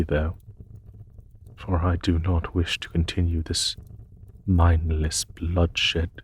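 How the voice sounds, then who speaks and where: A man playing a courteous, war-weary demon emperor in an intimate audio roleplay, speaking formally and gently with a husky voice. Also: deep voice, exaggerated voice. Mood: weary, regretful. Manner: formal, measured, gently spoken.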